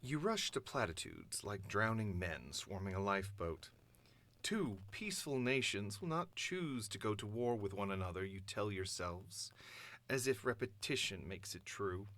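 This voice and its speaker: A man playing an imperious, contemptuous comic-book tyrant in his natural voice, declaiming a speech with deliberate emphasis. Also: lowish voice, lively intonation, a bit bright. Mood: contemptuous, grave. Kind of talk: dramatic speech performed in own natural voice.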